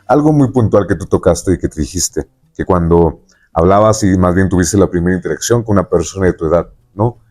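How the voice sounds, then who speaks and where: Deep-voiced Mexican man hosting an interview. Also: brisk, darkish tone. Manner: rambling, often checks in with tag questions. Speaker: podcast host interviewing a guest. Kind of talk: conversational interview.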